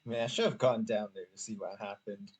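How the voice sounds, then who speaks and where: A man's voice: lowish voice, steady tone, fairly quick, husky. A man giving casual playthrough commentary on a game, laid-back and thinking aloud. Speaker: gamer, video host. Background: game music.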